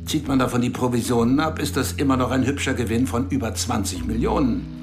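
An actor playing a calm, menacing male spymaster who questions a subordinate in a radio drama. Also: mid-range voice, brisk, natural voice. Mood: cold. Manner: calm, cold, interrogating. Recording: studio radio play with music behind.

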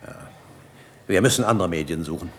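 An actor playing a greedy, domineering husband in a German radio play, urgent and insistent. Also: male voice, fairly quick, a bit bright. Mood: pressing. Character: Richard, tense, greedy, domineering husband planning a burglary. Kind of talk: 1960s-80s German radio play acting. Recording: noisy recording with car engine rumble.

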